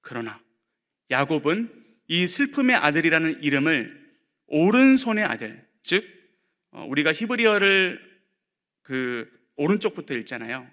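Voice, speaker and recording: A male pastor delivers a sermon in a fairly high, bright and forceful voice. He talks to the congregation conversationally, slipping in light humor and pausing often with fillers. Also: big pitch swings.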